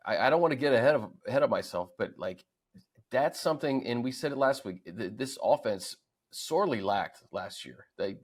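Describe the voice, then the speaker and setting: American man, medium pitch, conversational sports host breaking down football plays and players. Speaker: sports show host and analyst. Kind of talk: casual football commentary.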